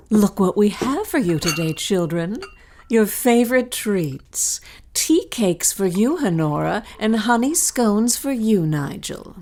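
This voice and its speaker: An actress playing a scheming governess in an audio melodrama, cooing and prim with a veneer of sweetness. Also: female voice, on the high side, darkish tone. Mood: prim, sweet. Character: scheming governess feigning sweetness toward her charges. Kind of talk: melodramatic audio drama acting.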